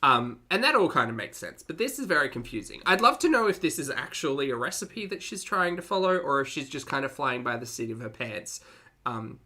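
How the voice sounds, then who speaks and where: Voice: mid-range voice, sing-song, fairly quick, pushed and bright. A reaction commentator talking casually and off the cuff, with an incredulous, amused and sweary manner.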